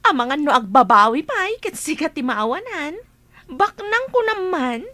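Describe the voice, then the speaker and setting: Very high, teasing girlfriend. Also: big pitch swings, normal speed, bright, forceful tone, exaggerated voice. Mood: playful, teasing. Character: teasing, mischievous young woman, the heroine's best friend. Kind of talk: Filipino radio drama acting.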